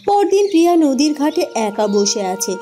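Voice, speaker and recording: A woman's voice: high voice, very animated, moderate pace, warm tone, a little hiss or hum. A woman giving scripted storybook narration for a cartoon folk tale.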